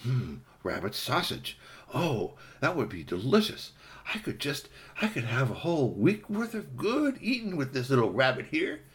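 A man voicing a sly, hungry fox villain in a folktale, musing and gloating with drawn-out hums. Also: mid-range voice, big pitch swings, husky, voice pushed up. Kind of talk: animated oral folktale storytelling.

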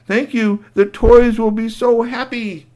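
A man's voice: extremely high pitch, flat delivery, slow, warm tone, muffled recording, pitched above the performer's own voice. A man voicing a small, humble toy robot that bows and speaks very politely. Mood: grateful.

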